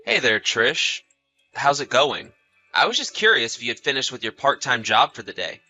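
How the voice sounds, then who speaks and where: A man's voice: mid-range voice, big pitch swings, moderate pace, sharp tone, soft background music. A man acting a betrayed husband in a dramatized story, polite but suspicious and accusing, with a theatrical, emphatic delivery. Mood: guarded. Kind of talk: dramatized text-message story narration.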